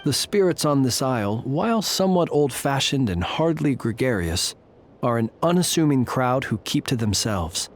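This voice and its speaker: Game guardian: man playing a polite, formal keeper of the tombs, unhurried and precise, faint music underneath. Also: mid-range voice, natural voice. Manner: formal, measured, old-fashioned phrasing. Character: courtly male graveyard guardian, calm and formal. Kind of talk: video game dialogue.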